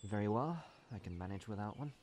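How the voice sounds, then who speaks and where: A man's voice: fairly low pitch, lively intonation, quick pace, a little hiss or hum, lightly acted. A man voicing a poised, wry female adventurer in a comic dub, speaking with dry, polite formality. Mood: composed, curious. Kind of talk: amateur comic dub, narration and dialogue. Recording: loud music over the voice. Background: loud music.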